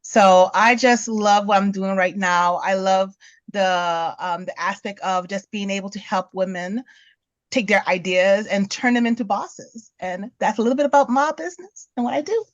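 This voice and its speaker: A woman's voice: medium pitch, moderate pace, no echo, highs cut off. A woman business coach introducing herself on a panel in an upbeat way, laughing easily.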